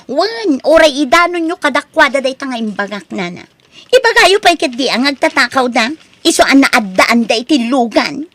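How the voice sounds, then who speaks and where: Woman doing spiteful gossipy neighbor wife in an ilocano radio drama, really high voice that swings all over, exclaims a lot. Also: sing-song, moderate pace, a bit bright. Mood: spiteful, needling. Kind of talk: Ilocano audio drama acting.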